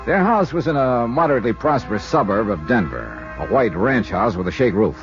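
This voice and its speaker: An actor playing a suave, wry American insurance investigator in 1950s radio drama style, clipped and hardboiled. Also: male voice, medium pitch, animated, a little fast, natural voice. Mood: brisk narration. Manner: clipped hardboiled first-person narration and questioning. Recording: dull vintage radio recording with music.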